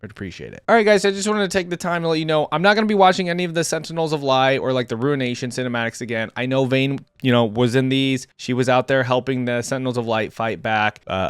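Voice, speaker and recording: A man's voice: mid-range voice, clear, resonant tone, no room sound. Man, reaction host, casual commentary, enthusiastic fan talk, dry recording.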